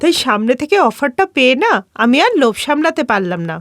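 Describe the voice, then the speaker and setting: A woman's voice: high-pitched, sing-song, fairly quick, no room sound, studio quality. A woman voicing a confident, cheeky young daughter-in-law in a cartoon story, chatty and cajoling.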